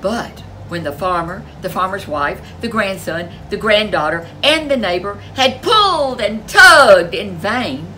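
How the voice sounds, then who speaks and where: A woman's voice: mid-range voice, animated, unhurried, hardly any pauses, sharp tone, slight background noise. A woman storyteller reading a folk tale aloud in a dramatic, emphatic way. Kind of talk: animated folk tale narration for children.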